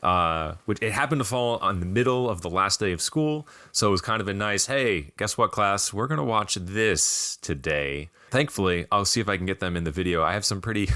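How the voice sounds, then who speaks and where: An American man with a slightly raspy voice, chatting casually and with some self-deprecation as the host of a video game podcast. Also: sits low, a little fast, hardly any pauses, bright, forceful tone, dry recording, studio quality.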